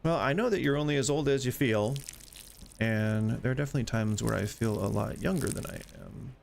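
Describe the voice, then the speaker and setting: A man's voice: medium pitch, a little fast, gravelly, a little hiss or hum. A man in his forties chatting casually and rambling while playing a game, joking about getting old. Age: 40s. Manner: rambling, self-deprecating, chatty. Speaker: gaming streamer. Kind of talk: casual gameplay chat.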